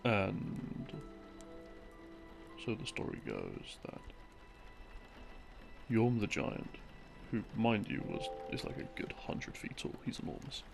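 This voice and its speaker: Easygoing boyfriend played by a man for sleep-aid roleplay: heavy vocal fry, lively swings in pitch, casual rambling lore narration. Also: exaggerated voice. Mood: relaxed storytelling. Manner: casual, unhurried, conversational asides and hesitations. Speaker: audio roleplay performer. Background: background music.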